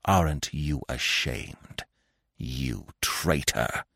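A man voicing a wounded male fox in a storybook, hissing and growling with drawn-out, emotional words. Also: extremely low pitch, lively intonation, creaky, pushed and bright, breathy edge, no echo, clean studio sound, pitched below the performer's own voice, heavily acted. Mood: bitter, hissing. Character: wounded male fox, weak pleading turned bitter contempt.

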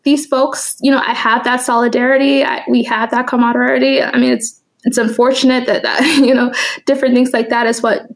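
A young woman with a high voice that often drops into creak. Her first languages are Swahili and Kikuyu, and she speaks in an informal, chatty way, as a guest in a personal conversation. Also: flat delivery, a little fast. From United States, raised in Kansas after early childhood in East Africa. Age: young adult. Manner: chatty, informal, laughs often while talking. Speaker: interview guest, photographer.

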